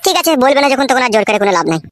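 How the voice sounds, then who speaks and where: A man's voice: very high voice, sing-song, racing, a bit bright, heavily acted. A man voicing a cheeky, foul-mouthed young husband in a Bengali comedy cartoon. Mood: teasing. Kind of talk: comic cartoon dubbing in Bengali. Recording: noisy home-made dubbing.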